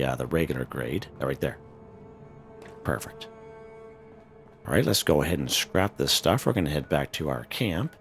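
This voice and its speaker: A man with a hoarse, worn voice, casually talking viewers through a game, full of fillers and "okay?" check-ins. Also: rumbling low, a bit bright. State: hoarse, losing his voice. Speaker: gaming channel host. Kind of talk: casual gameplay walkthrough commentary.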